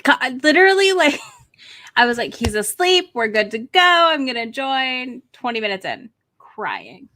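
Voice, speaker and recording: A woman's voice: high voice, unhurried. A woman co-hosting a livestream, telling casual stories and acting out the quotes as she goes. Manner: animated storytelling, acts out pets' thoughts. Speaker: livestream co-host. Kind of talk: casual chat.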